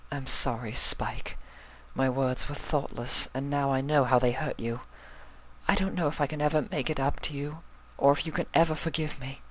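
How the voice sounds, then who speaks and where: A man's voice: mid-range voice, steady tone, moderate pace, a bit bright, pitched above the performer's own voice, lightly acted, rough recording. A man voicing an elegant, earnest female unicorn pony with refined, formal diction in a fan story reading. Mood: remorseful.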